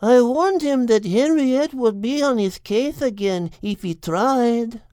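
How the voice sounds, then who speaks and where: A man voicing a placid, chatty female cow in a storybook, with an unhurried delivery. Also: extremely high pitch, animated, a little mellow, no room sound, voice pushed up, exaggerated voice. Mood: placid, gently warning.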